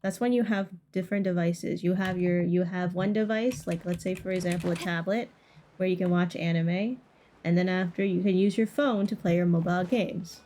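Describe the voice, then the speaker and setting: A woman's voice: fairly low pitch, steady tone, moderate pace, dark tone, slight background noise. A woman chatting casually and ramblingly to viewers while livestreaming a game. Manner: rambling, conversational. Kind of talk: casual gameplay chat with stream viewers. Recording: game music playing behind voice. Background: video game music.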